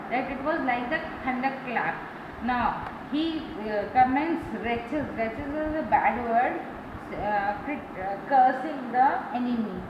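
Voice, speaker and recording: An Indian woman teaches an English lesson in a slightly high voice, explaining slowly and restating each word. She checks with "right?" and switches into Hindi to make a point. Her voice rings around a bare room over loud background noise. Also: roomy sound, dull audio. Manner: explains slowly, rephrases words and asks "right?".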